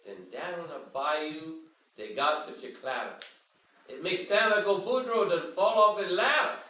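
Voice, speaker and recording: A man's voice: fairly high pitch, normal speed, pushed and bright, far from the mic. An older man giving a theatrical bedtime read-aloud of a dialect verse story. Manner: theatrical, reading in Cajun dialect. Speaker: reader of a children's story. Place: living room armchair, indoors. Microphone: handheld camera a few metres away. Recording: roomy home recording from across the room.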